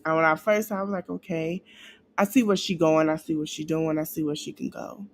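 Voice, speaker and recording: A woman podcast host giving blunt, casual commentary in a conversational, drawn-out way. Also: low-pitched.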